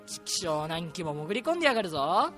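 A man's voice: on the high side, very animated, fast talker, a bit bright, soft background music. A man acting a rattled, swearing fighter under helicopter attack in an amateur radio drama. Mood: agitated.

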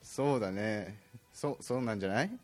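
A man's voice: lowish voice, fairly quick, soft background music. A young man co-hosting an amateur radio show, chatting casually with his friends. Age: young adult.

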